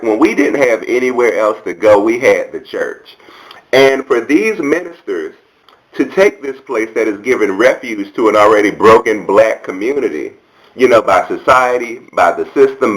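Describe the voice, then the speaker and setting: Low-voiced, sassy American man vlogging. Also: fairly bright tone, poor audio. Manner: sassy, candid, colloquial. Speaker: video blogger. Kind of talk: casual commentary to camera.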